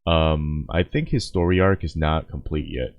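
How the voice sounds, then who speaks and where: A man giving casual, off-the-cuff reaction commentary, halting and rambling as he thinks aloud. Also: extremely low pitch. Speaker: video creator reacting to a game cinematic. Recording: dull, roomy, echoey home recording.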